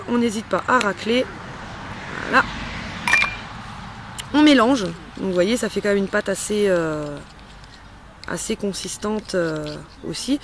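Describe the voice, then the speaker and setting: A woman's voice: medium pitch, a little hiss or hum. A woman hosting a casual step-by-step tutorial in a chatty, playful and informal way. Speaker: host of a homemade beauty-care tutorial. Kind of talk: casual spoken step-by-step demonstration.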